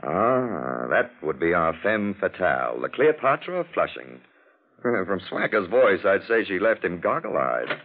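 Casting: a witty gentleman sleuth, low voice with wide swings, elaborate dry wit, vintage radio. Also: very animated, measured pace, slight background noise. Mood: wry, teasing. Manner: arch, drily teasing, elaborate phrasing. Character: urbane, witty, sardonic gentleman detective. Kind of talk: 1940s radio drama acting. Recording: old lo-fi radio drama recording.

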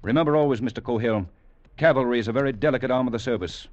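An American actor playing a stern, exacting older cavalry captain in a radio drama, speaking in a measured, formal, lecturing way. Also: male voice, medium pitch, lightly acted. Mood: stern, commanding. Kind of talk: 1940s-50s radio acting. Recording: dull, hissy old radio recording.

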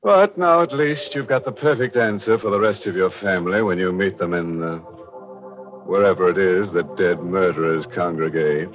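A man's voice: low-pitched, normal speed, faint music behind. A man hosting a 1940s radio horror show in a macabre, tongue-in-cheek manner, on a dull old recording. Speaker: host.

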